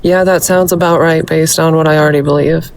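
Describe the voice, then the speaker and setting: A debate caller on a webcam call, arguing in a calm, pointed way. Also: medium pitch, brisk. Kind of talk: heated online debate. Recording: noisy online call audio.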